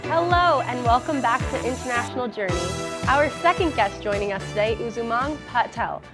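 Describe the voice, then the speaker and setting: Young female student TV host, higher-pitched, interview style. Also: lively intonation, brisk, loud music behind the voice. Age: young adult. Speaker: talk show host. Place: student television studio.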